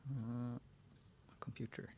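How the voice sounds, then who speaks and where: A man with a Swedish accent hosting a live screen-share tutorial, thinking aloud as he types, often pausing and restarting his sentences. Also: mid-range voice, level tone, warm tone, breathy edge. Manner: thinking aloud while typing, frequent restarts. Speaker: host.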